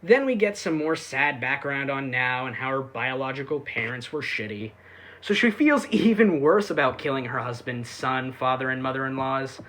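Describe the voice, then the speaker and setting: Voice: mid-range voice, expressive, moderate pace, a bit bright. A reviewer giving a casual, sarcastic recap with irreverent, sweary delivery. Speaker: YouTube anime reviewer.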